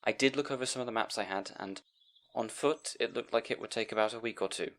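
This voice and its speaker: A man's voice: mid-range voice, quick pace, fairly bright tone, lightly acted. A man playing a timid, bookish young scribe in a fantasy audio roleplay, hesitant and apologetic, rambling and stammering. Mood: anxious, rambling. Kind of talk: audio roleplay acting addressed to the listener.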